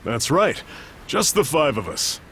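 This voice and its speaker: A casual young sea warrior in a video game. His voice is gravelly and swings very widely in pitch. There is clear noise behind him. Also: sing-song, a little slow, fairly bright tone, heavily acted. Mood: matter-of-fact. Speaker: voice actor. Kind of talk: video game cutscene acting.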